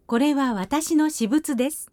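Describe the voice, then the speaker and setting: A woman giving short, clear phrase prompts for a language lesson at a brisk pace. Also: high-pitched, dark tone, no room sound, studio quality.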